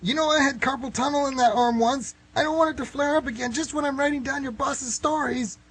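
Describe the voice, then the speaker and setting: A man's voice: very high-pitched, steady tone, brisk, a bit bright, rough recording. A man playing a glib writer who bargains and protests, in an amateur radio comedy. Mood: protesting.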